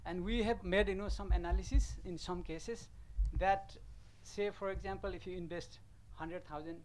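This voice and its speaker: A man from Nepal commenting from the audience at a conference, halting and full of fillers. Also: sits high, steady tone, darkish tone, slight background noise, poor audio. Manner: halting, many fillers and restarts. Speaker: audience member asking a question.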